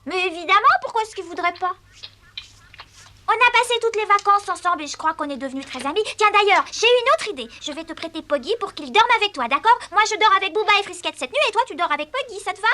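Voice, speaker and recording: A woman's voice: extremely high pitch, fast, slight background noise, heavily acted. An actress voicing an eager, persuasive little girl who talks a lot, in a French cartoon dub. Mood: pleading. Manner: pleading, talkative, animated. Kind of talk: dubbed cartoon acting in French. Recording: music under the voice.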